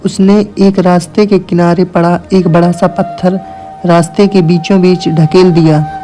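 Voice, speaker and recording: Guy reading a moral story, pretty high voice, flat melody, music on it. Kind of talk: scripted moral story narration.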